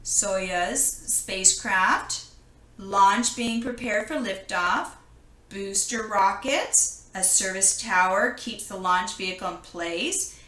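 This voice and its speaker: A woman reading a children's picture book aloud in an expressive manner, recorded in an echoing room. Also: mid-range voice, slow pace. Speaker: storybook reader. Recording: roomy, echoing indoor sound.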